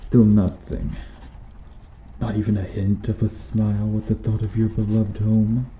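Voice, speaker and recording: A man playing a bitter, sardonic medieval knight in a slow, intimate ASMR roleplay, speaking deliberately with quiet menace. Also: fairly low pitch, level tone, close to an everyday voice. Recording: roomy echo with faint noise.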